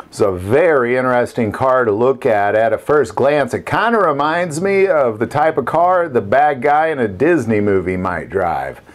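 A man's voice: medium pitch, animated, moderate pace, hardly any pauses. A man in his fifties hosting a video with scripted narration. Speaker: video host.

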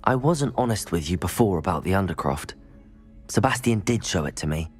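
A polite, persuasive student in a game cutscene. His fairly low voice has a breathy, husky edge, and he talks in careful, courteous phrases. Faint music sits underneath. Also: animated. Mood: careful, apologetic. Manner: measured, courteous, diplomatic. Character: polite, persuasive teenage male wizard student. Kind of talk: video game cutscene acting.

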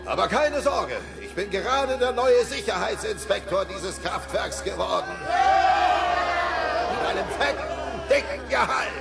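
An actor playing a bumbling, earnest, excitable middle-aged cartoon father in a German-dubbed audio play, speaking in a declamatory way. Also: high-pitched, unhurried, vocal fry, over loud music, over a noisy crowd, exaggerated voice. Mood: rousing, sentimental. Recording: noisy old cassette audio play.

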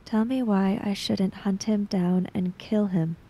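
Hushed, creaky, vengeful grieving woman, acted by a roleplayer. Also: mid-range voice, fairly even intonation, unhurried. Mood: cold vengeance. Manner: hushed, terse, brooding.

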